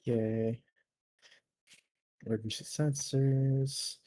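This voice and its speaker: A man's voice: medium pitch, steady tone, takes it slowly, mellow, slight background noise. A man chatting casually as a game player, tentative and thinking aloud. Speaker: player creating a character. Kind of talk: casual chat.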